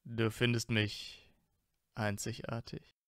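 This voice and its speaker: A man's voice: fairly low pitch, completely flat delivery, slow, a bit bright, no echo, clean studio sound. A man playing a teasing, self-assured teenage wizard student in a fan audio drama. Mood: taken aback. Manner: teasing, probing.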